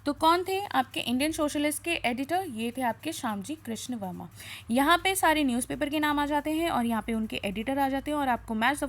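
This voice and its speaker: Female teacher, Indian accent, very high voice, exam revision style, poses questions and answers them. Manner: asks questions then answers them, with frequent check-in tags. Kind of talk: question-and-answer lesson to online students.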